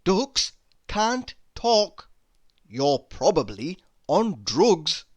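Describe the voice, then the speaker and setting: A man reading humorous quotes aloud in a deliberate, mock-inspirational manner. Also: high-pitched, sing-song. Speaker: reader.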